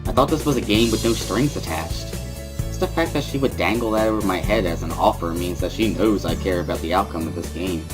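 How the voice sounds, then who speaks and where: A man's voice: deep voice, brisk, over music, close to an everyday voice. A man playing a thoughtful, wry teenage schoolboy who narrates his own story in a visual novel dramatic reading. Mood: wary, determined.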